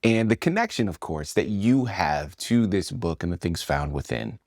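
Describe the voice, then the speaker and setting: A man's voice: low-pitched, moderate pace, dry recording, studio quality. A man hosting a talk show, chatting with enthusiasm and affirming his guest often, with a few restarts. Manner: animated, affirming, repeats himself. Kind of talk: enthusiastic interview chat.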